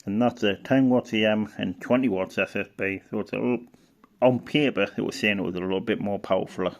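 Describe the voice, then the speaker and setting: A man's voice: fairly low pitch, a little fast, dull audio. A middle-aged man giving a casual, rambling show-and-tell as a hobbyist, hesitant and thinking aloud. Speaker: radio hobbyist presenter.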